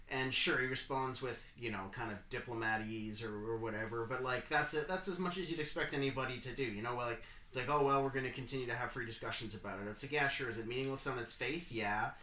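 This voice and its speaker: A man's voice: medium pitch, pushed and bright, roomy sound. A man co-hosting a casual political discussion, talking conversationally with many fillers and asides. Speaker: podcast co-host.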